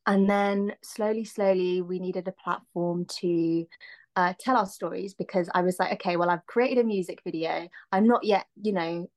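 A woman's voice: on the low side, fairly even intonation, moderate pace, darkish tone. A woman in her thirties, a singer-songwriter guest, talking reflectively in a casual interview with many ums and likes. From Filipina-Sri Lankan, raised in the UK. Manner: reflective, lots of "um" and "like".

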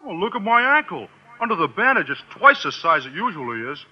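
Fast, high-pitched dim American teen jock. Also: male voice, big pitch swings, husky, heavily acted. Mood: plaintive. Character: dim-witted, earnest high school football player. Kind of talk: 1940s-50s American radio comedy acting. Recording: noisy, muffled old-time radio recording.

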